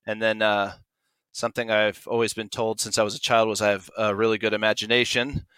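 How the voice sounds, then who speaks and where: A man's voice: on the low side, level tone, a little fast, a bit bright, clean tone, no echo. A man in his forties speaking conversationally as an interview guest, explaining his creative work with enthusiasm. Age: 40s. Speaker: guest, audio drama producer.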